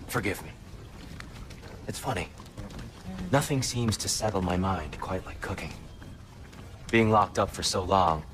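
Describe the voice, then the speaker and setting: A man playing a gentle, humble, weary god in a video game, a former prisoner who speaks with courteous, apologetic care. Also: sits low. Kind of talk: video game character acting.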